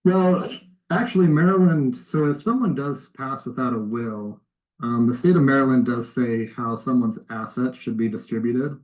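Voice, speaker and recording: A man on an informal phone call, explaining things politely and tentatively with a soft sell. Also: medium pitch, moderate pace. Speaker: cold caller offering help claiming surplus funds. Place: recorded phone call played back in a lesson.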